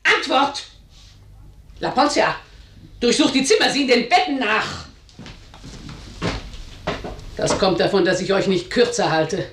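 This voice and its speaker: A woman's voice: mid-range voice, lively intonation, moderate pace, a bit bright, recorded from a distance, a little hiss or hum, lightly acted. A woman acting a domineering, ageing mother in a German radio drama, declaiming and commanding like a household tyrant. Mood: furious, commanding. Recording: roomy echo, slightly off-mic.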